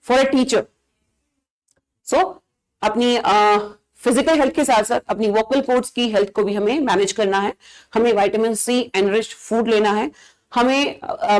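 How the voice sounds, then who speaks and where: A woman with an Indian accent and a fairly high voice talks as a teacher addressing other teachers. She explains things emphatically in an informal lesson style, often slipping English phrases into her sentences. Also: a little mellow, poor audio. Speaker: biology teacher. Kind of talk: informal educational advice talk to fellow teachers.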